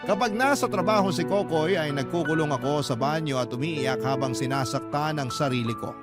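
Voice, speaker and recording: High-pitched Filipino male radio storyteller. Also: a little fast. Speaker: radio host and story narrator. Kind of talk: dramatic reading of a listener's letter. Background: loud music bed.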